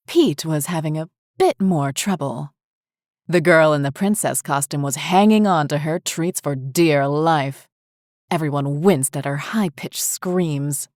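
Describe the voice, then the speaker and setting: Low-pitched, slow woman narrator. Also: a little mellow, no echo, clean studio sound. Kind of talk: scripted horror story narration.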